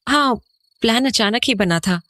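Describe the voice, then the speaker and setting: A woman's voice: a little high, moderate pace, pushed and bright, no room sound. A woman playing an uneasy, questioning young mother in a Hindi horror audio drama, speaking expressively. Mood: startled, questioning. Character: uneasy, questioning young mother back in her childhood home.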